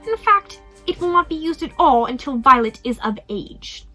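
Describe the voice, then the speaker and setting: A woman's voice: sits high, expressive, normal speed, bright, forceful tone, soft background music, pitched above the performer's own voice. A woman voicing a stern, proper male banker who looks after the children. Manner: formal and stern, with coughing.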